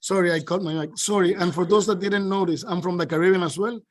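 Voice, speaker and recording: A man's voice: sits high, steady tone, fast talker. Spanish-accented man giving formal remarks. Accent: Spanish-accented English. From Dominican Republic. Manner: earnest, with hesitant fillers and restarts. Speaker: official speaker at a council meeting.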